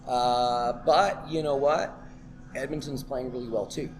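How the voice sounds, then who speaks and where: A man's voice: mid-range voice, steady tone, measured pace. A man from Canada co-hosting a casual sports chat in a pub, with a scratchy throat and an enthusiastic, chatty, promotional way of talking. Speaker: co-host and karaoke host.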